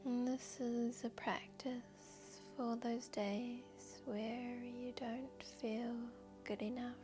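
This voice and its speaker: A woman guiding a meditation in a soft, breathy, whispery voice, speaking gently and soothingly with long unhurried pauses. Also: fairly high pitch, level tone. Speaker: yoga nidra guide and host. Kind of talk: guided meditation narration. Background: background music.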